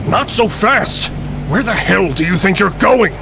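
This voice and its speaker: An actor playing a loyal, nosy, hot-tempered blacksmith in a fantasy audio drama, insistent and excitable. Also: male voice, high voice, a little slow, creaky, music in the background, heavily acted. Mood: demanding, heated.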